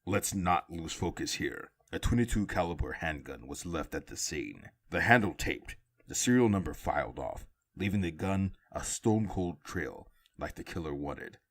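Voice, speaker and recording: A man acts out a brooding masked vigilante in an audio drama. The voice sits low and hushed, with terse, grim, deliberate delivery, like a detective laying out a case. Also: expressive. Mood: grim, analytical.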